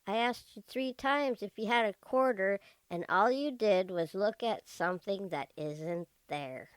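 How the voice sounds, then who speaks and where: A woman's voice: medium pitch, unhurried, clear, resonant tone, no echo, lightly acted. A woman playing a plucky, forthright young schoolgirl in an old-time radio drama. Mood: curious, forthright.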